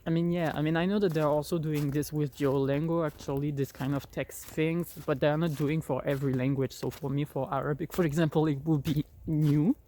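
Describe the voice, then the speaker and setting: A man's voice: fairly high pitch, steady tone, a little fast, few pauses, mellow, slight background noise. A young man with a French accent gives a casual, rambling review of an app, full of fillers and restarts, speaking outdoors into a phone. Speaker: vlogger. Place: outdoors in cold weather. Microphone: phone held by the speaker.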